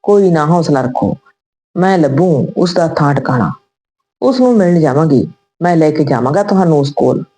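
A woman's voice: deep voice, expressive, normal speed, warm tone, rough recording. A woman voicing a caring, respectful younger soldier nephew in a told story. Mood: reassuring. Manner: respectful, encouraging.

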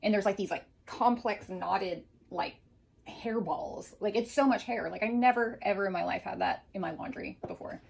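A woman in her forties telling stories in an animated, casual chat with a friend, peppered with "like". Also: medium pitch, normal speed. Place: small living room, indoors. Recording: clearly noisy room ambience.

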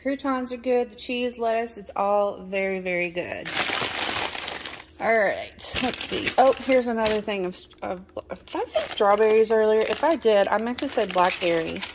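Chatty woman with noise behind her. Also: medium pitch. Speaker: vlogger. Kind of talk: casual grocery haul chat. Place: home kitchen indoors.

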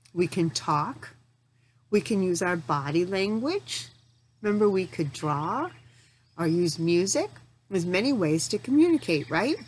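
Slow, lively woman teaching young children. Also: mid-range voice, slightly rough. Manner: questioning, encouraging, simple phrasing with pauses for answers. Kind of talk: interactive lesson for young children.

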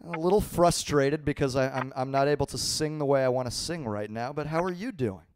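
A man in his thirties hosting a live call-in stream from a small home studio, talking loosely with lots of swearing while his voice is going hoarse. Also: mid-range voice, brisk, a little mellow. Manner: loose, profane, laughing. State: losing his voice. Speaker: livestream host. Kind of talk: casual live call-in chat. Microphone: handheld microphone.

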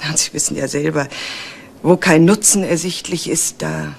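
An actress playing an earnest, anxious adult daughter in a 1980s German crime radio drama, explaining things hesitantly and insistently. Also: female voice, deep voice, steady tone, normal speed, creaky. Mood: troubled, earnest. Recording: faintly noisy old radio recording.

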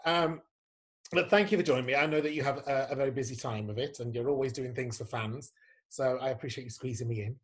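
A man's voice: medium pitch, fast. A man hosting a casual interview over a video call from a home room, camp, playful and effusive. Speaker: host.

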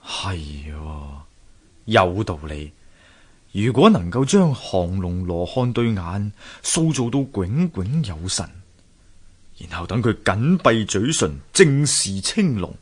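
An animated, deep-voiced sculptor husband. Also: male voice, takes it slowly, breathy edge, exaggerated voice. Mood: eager, thoughtful. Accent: Hong Kong Cantonese. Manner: theatrical, musing aloud with many interjections. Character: earnest male sculptor husband, eager and thoughtful. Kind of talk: Cantonese radio drama acting.

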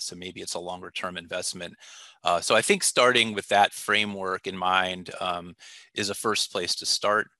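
A man's voice: low-pitched, sharp tone, no room sound. A man in his thirties answering interview questions over a video call on a headset mic, thoughtful and full of fillers and restarts. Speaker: warehouse technical product manager. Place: small room indoors.

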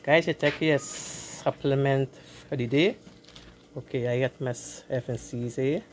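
A woman's voice: a bass voice, a little slow. A woman with a Guyanese accent chatting casually to viewers while she cooks in her home kitchen, often repeating her words. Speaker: cooking vlogger. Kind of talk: casual cooking vlog chat. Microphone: handheld phone camera. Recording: noisy phone recording with frying sizzle.

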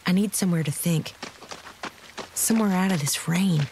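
A woman's voice: on the low side, moderate pace, a little mellow, a little hiss or hum, lightly acted. An actress playing a confused young woman left for dead, narrating her story in a casual, wry, hesitant way for an audio drama. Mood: wary. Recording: dramatic music behind the voice.

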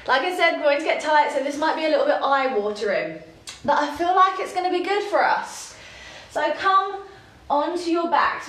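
A young woman with a stuffy nose from hay fever, teaching a casual yoga class at home, chatty with laughing asides, then slow and calm cues. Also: high voice. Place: home room with yoga mat. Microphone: camera across the room. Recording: very echoey room, faint background hiss.

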